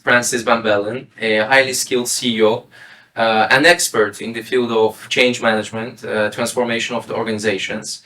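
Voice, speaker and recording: A man's voice: medium pitch, a bit bright. Polite, formal male podcast host. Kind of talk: formal podcast interview hosting.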